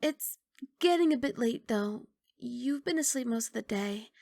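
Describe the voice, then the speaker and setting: Woman doing a sweet bashful giant dragon girl for asmr, highish soft voice w a bit of crackle, close and dry. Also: expressive, unhurried, gravelly, dry recording. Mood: delighted. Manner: soft, coaxing, reassuring, slightly bashful. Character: kindly young giant dragon girl, gentle and eager college student. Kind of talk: ASMR roleplay.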